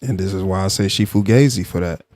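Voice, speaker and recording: A man's voice: low-pitched, fast, darkish tone, no echo. A man hosting a live stream, giving commentary and arguing with a caller in a blunt, insistent way that repeats points. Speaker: livestream host.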